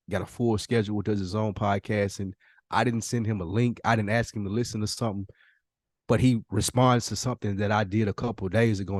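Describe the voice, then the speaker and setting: A man's voice: on the low side, dry recording. Candid, self-effacing man hosting a podcast, dry sound. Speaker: podcast host. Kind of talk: casual, candid podcast conversation.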